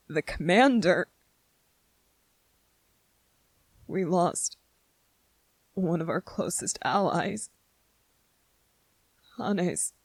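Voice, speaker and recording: A woman voicing an anxious, weary young male anime soldier in a halting ASMR roleplay monologue, pausing and repeating words. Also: mid-range voice, no echo. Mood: anguished, anxious.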